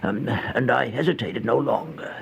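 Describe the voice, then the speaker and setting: An actor playing an exhausted, starving homeless man who recounts a horror tale in a halting, breathy, theatrical old-time radio style, often dropping toward a whisper. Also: medium pitch, heavily acted. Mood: bitter, ominous. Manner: halting, slow, theatrical, anguished. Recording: noisy old radio recording.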